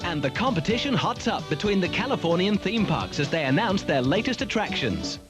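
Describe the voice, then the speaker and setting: Man, high voice, animated intonation, scripted TV promo, music behind. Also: a little fast. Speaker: television trailer announcer.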